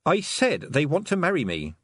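A man voicing a stubborn, sharp-witted young girl who speaks indignantly. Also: mid-range voice, wildly swooping pitch, normal speed, breathy edge, no room sound, studio quality, pitched above the performer's own voice, heavily acted. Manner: indignant, determined.

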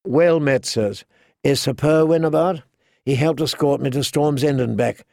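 A man reads as a sober noblewoman in a fantasy audiobook. The speech is measured and formal, with some gravelly creak and no room sound. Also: medium pitch, a little mellow, no echo, studio quality. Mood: courteous, guarded. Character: composed, sober noblewoman, older sister. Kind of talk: audiobook character dialogue.

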